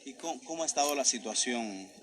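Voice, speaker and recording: A man reporting on location outdoors by a river, in a steady way with filler pauses. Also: mid-range voice, slight background noise. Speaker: field reporter.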